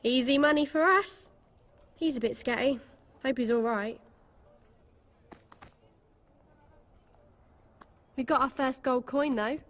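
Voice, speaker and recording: Woman acting a plucky British street girl, very high. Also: normal speed, close to an everyday voice. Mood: eager, concerned. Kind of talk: video game cutscene dialogue.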